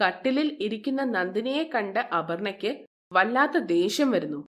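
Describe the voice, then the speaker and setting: A woman's voice: medium pitch, animated, measured pace. A woman narrator telling a scripted moral story.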